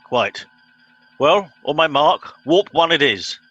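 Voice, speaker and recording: Guy doing pompous english captain, forceful voice, bossy and impatient, space radio comedy. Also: on the high side, animated, slow, breathy edge, dull audio, faint music behind, exaggerated voice. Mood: self-important. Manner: pompous, officious, exasperated. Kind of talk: comic radio play acting.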